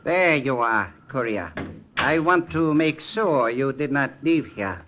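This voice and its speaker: A sly army colonel in an old 1940s radio play. He is commanding and dismissive, talking slowly and deliberately at a medium pitch. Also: lively intonation, noisy background. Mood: curt, guarded. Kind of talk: 1940s American radio drama acting. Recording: vintage radio drama recording.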